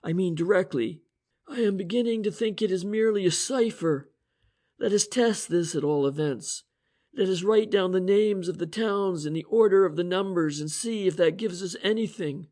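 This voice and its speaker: A man voicing a brisk, excitable police inspector. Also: high voice, pitched above the performer's own voice, lightly acted. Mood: explaining. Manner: eager, emphatic and commanding.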